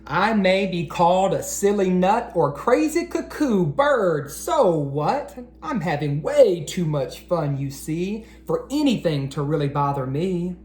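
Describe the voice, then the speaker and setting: A man reading aloud to children like an educator, with an expressive, encouraging manner that speaks straight to them. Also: sits high, unhurried, few pauses. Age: adult. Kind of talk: animated children's read-aloud. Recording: roomy echo, faint background noise.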